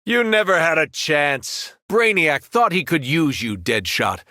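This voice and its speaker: Arrogant villainous superhero, bright forceful voice. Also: male voice, fairly high pitch, lively intonation, measured pace, no room sound, studio quality. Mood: cold threat. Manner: taunting, menacing, condescending. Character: villainous brainwashed superhero, powerful, arrogant, cruel. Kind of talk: video game villain voice lines.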